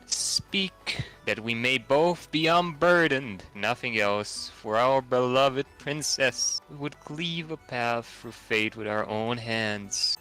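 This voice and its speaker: A man's voice: mid-range voice, bright, forceful tone, low-quality recording. A man playing a noble young knight who declaims formal stage-play lines in an amateur game voice-over. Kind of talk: amateur game dialogue voicing.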